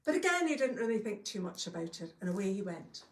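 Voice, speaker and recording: A woman's voice: medium pitch, big pitch swings, quick pace. A middle-aged woman with a Scottish accent telling a folk tale to a live audience in a chatty, homely way, with folksy asides. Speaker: storyteller. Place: longhouse hall with a live audience. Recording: echoey room, distant mic.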